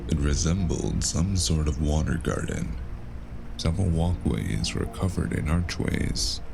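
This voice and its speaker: An actor playing a grim, weary cyberpunk man who narrates his story in clipped, brooding noir phrases with a gravelly voice. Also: low voice, full character voice. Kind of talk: scripted first-person noir narration. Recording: loud music under the voice. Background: music.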